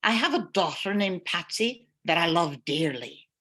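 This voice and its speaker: A woman's voice: lowish voice, expressive, measured pace, bright, forceful tone, full character voice. An older woman playing a slightly sarcastic housekeeper and cook in an old-time radio drama. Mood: dry sarcasm. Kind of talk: 1940s radio acting.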